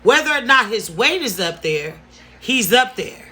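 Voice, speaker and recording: Emphatic woman with a bright voice. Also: on the high side, sing-song, measured pace. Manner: emphatic, repeats words for stress. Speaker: vlogger. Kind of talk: casual story-time talk to camera.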